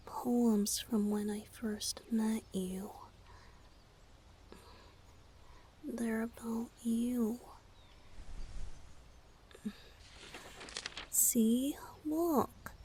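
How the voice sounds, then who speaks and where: A woman voicing a shy, flustered boyish lover in an intimate ASMR romantic roleplay, speaking softly and breathily with hesitant, halting phrasing. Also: a little high, slight background noise. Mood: tender, shy. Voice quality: soft, breathy, often whispered.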